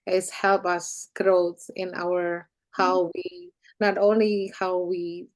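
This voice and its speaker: A woman's voice: on the low side, flat delivery, takes it slowly, no room sound, rough recording. A club member woman giving a hesitant, earnest congratulatory speech with many restarts.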